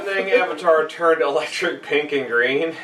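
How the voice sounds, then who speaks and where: A man's voice: a little high, moderate pace, far from the mic. A man co-hosting a podcast, joking and giggly as he chats casually and reads stories aloud. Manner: joking, giggly, interjects often. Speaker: podcast co-host. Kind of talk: casual banter and creepypasta reading. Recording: roomy, off-mic, noisy background.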